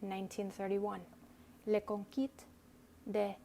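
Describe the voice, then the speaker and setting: A woman reads an essay aloud as a dramatic performance, deliberate and theatrical, with pointed pauses. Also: medium pitch, monotone, gravelly, mellow. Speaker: reader. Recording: noticeable background noise.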